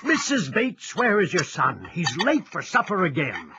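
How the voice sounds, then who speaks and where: A man's voice: sits high, wildly swooping pitch, unhurried, lo-fi sound, noisy background, exaggerated voice. A man playing a strict, practical, exasperated father in a period audio drama. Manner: firm, exasperated.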